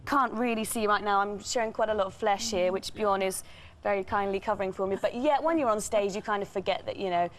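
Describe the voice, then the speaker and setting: A woman's voice: medium pitch, quick pace, few pauses. Young woman, bubbly chatty talk, TV studio, faint hiss. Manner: chatty, bubbly, lots of fillers. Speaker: tribute band singer. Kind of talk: live TV interview guest. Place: TV news studio.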